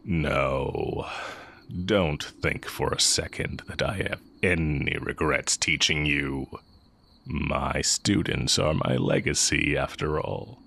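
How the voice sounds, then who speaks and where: A man playing an exiled heretic dark-magic teacher in an intimate audio roleplay, wry and condescending yet fond of the listener. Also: extremely low pitch, slow, creaky, slight background noise, heavily acted. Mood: fond, musing.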